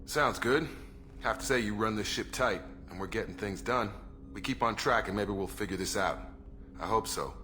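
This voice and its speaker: An actor playing a steady, proud ex-military crew officer in a video game, plain-spoken and matter-of-fact. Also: male voice, lowish voice, normal speed, soft background music. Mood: frank, measured. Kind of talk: video game character acting.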